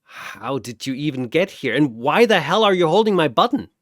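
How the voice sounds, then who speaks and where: A man's voice: fairly high pitch, very animated, normal speed, a bit bright, no room sound. A man performs a menacing mercenary from a battle story. He talks like a cruel predator, taunting and mocking, in a dry, close recording. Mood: irritated.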